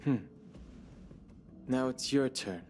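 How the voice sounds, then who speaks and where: An actor playing a reserved young guardian in a video game, asking short, measured questions. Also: male voice, mid-range voice, darkish tone, close to an everyday voice. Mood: guarded. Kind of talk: video game cutscene acting. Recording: game audio with background music.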